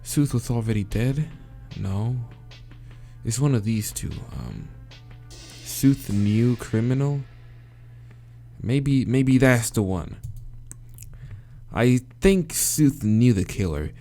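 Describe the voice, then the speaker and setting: A man's voice: mid-range voice, slow, long pauses, faint music behind. A man playing a visual novel, reading the dialogue aloud and muttering and swearing as he picks answers. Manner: hesitant muttering with swearing, then steady reading. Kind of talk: let's play, reading dialogue and reacting.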